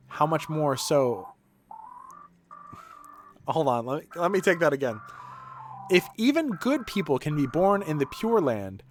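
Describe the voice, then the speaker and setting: A man with a fairly high voice and very lively, swooping intonation, chatting as a game streamer. He rambles informally and offers opinions freely. There is clear noise behind the voice. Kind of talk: casual gaming stream commentary, reading aloud on-screen quotes.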